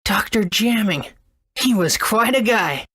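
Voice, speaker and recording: A man's voice: high voice, animated, measured pace, bright, forceful tone, breathy edge, full character voice. A man playing an eager, wide-eyed boy hero in a video game, youthful and earnest. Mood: thoughtful. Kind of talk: English video game voice acting.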